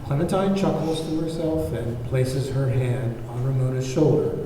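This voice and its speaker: A man's voice: mid-range voice, moderate pace, warm tone. A man narrating the stage directions of a script at a table read. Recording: very echoey hall, far mic, faint noise.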